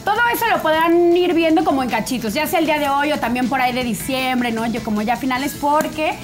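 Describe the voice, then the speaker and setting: Fast, high Mexican woman panelist. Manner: playful, joking. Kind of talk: TV sports panel chat. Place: TV studio set. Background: music.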